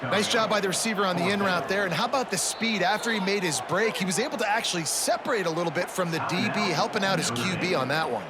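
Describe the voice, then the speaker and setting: A man's voice: high-pitched, fast talker, few pauses, very noisy, lightly acted. A man playing a video game's football color commentator, explaining why plays worked and praising technique.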